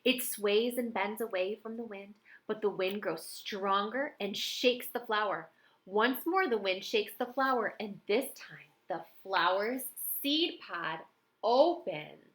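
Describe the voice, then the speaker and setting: A middle-aged woman reading a children's picture book aloud in an expressive way with dramatic emphasis. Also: medium pitch, slow pace. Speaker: storybook reader.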